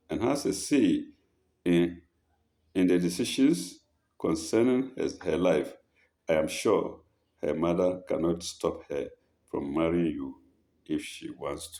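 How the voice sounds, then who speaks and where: A man's voice: low voice, dark tone. A man who hosts an advice show, reading listener letters aloud and commenting on them, often stopping to reread a phrase or correct himself.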